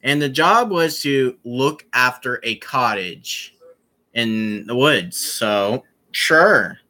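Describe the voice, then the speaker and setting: A young man chatting casually to his viewers on a live stream, rambling and halting, with many fillers and restarts. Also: mid-range voice, expressive, sharp tone.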